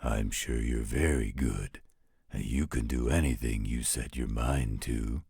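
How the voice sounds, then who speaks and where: A gruff, overprotective werewolf guardian in an audio roleplay. His voice is extremely deep and heavy with gravel. He grumbles along slowly, close and dry. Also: darkish tone, no room sound, exaggerated voice. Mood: grumbling. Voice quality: gravelly, husky. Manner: slow, grumbling, protective. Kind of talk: roleplay audio drama acting.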